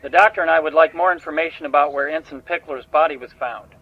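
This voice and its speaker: An actor playing a brisk, dry, commanding starship captain in a sci-fi audio drama. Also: male voice, a little high. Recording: dull, band-limited voice under music.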